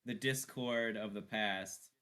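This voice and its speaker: A man's voice: on the low side, takes it slowly, bright, forceful tone, clear, resonant tone. A man playing a tabletop role-playing game who speaks in character in a genial, mock-formal way. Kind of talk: in-character casual chat.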